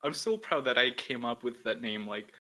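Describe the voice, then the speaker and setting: A young man with a deep voice hosting a podcast, chatting casually and rambling with lots of "like" and the odd swear. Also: sing-song, normal speed, sharp tone. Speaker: podcast host. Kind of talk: casual chat.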